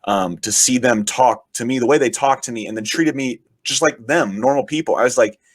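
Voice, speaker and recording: A man, a podcast guest who is also a podcast host, talking in rambling, enthusiastic casual chat, his voice raspy from shouting. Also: medium pitch, a little fast, dry recording. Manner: rambling, enthusiastic, frequent swearing.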